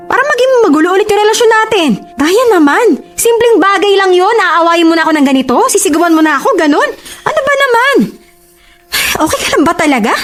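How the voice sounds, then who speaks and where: A woman's voice: mid-range voice, dark tone, lightly acted. A woman playing a devoted, hurt bride-to-be in a relationship drama, speaking emotively with a Filipino accent. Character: long-suffering, devoted bride-to-be, hurt and defensive.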